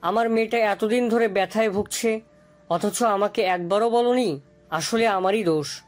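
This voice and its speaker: A woman acts out a worried parent in an audio drama. The voice is mid-pitched and sorrowful, heavy with self-blame, over faint music. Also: unhurried, lightly acted. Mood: guilty sorrow. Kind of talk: Bengali audio story acting.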